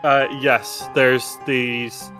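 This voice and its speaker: A man's voice: medium pitch, level tone, drawn-out words, over music. A man improvising casually as game master of a tabletop game, bantering with players.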